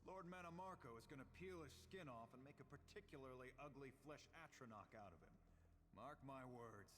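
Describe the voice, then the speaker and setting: A man's voice: mid-range voice, brisk, fairly bright tone, close to an everyday voice. A man playing a gossipy, mocking villain's henchman in a video game, telling things in a jokey way. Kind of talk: video game character acting. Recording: distant reverberant game audio, faint noise.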